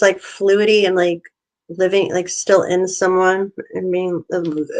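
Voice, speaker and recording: A woman speaks slowly and loosely on a casual vlog, leaning on fillers as she rambles. Her pitch sits in a middle range, and when she reads news aloud she stays unhurried. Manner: rambling, filler-heavy, squeamish. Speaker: co-host.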